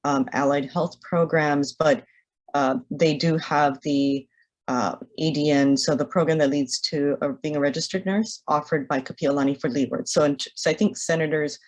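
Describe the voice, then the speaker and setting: A woman testifying at a hearing as a university official, explaining in a measured way with fillers. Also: low-pitched, a little mellow, poor audio.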